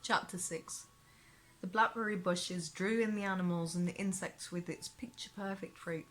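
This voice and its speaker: A woman author reading her own fantasy novel aloud as expressive audiobook narration. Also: on the low side, moderate pace, a little hiss or hum. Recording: prominent music behind the narration. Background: music.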